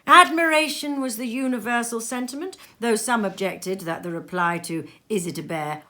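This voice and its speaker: A woman narrating a storybook expressively, with dramatic, deliberate emphasis. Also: low voice, sharp tone. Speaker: storybook reader.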